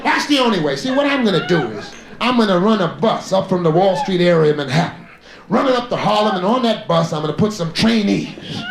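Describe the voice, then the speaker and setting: A man's voice: high-pitched, expressive, fairly quick, gravelly, background noise. A man performing a satirical stand-up comedy monologue as a comedian before a live audience. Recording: echoey live club, loud audience.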